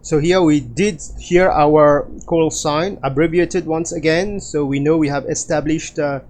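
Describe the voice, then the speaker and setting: Slow, halting man giving a tutorial. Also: fairly high pitch, steady tone, darkish tone. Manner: hesitant, halting delivery with frequent filler pauses. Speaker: flight simulator instructor. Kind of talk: explanatory tutorial narration.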